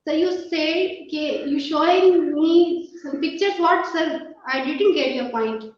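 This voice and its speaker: A woman's voice: high-pitched, unhurried. A woman interviewing someone on a video call, deferential and hesitant. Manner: deferential, often saying "sir", hesitant. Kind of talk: polite scripted interview questions with halting follow-ups. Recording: very echoey, distant, muffled call audio.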